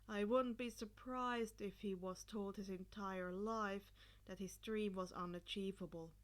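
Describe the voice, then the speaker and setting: A woman voicing a nonchalant, reserved husband in a story, with a deadpan, low-key delivery. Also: unhurried, natural voice.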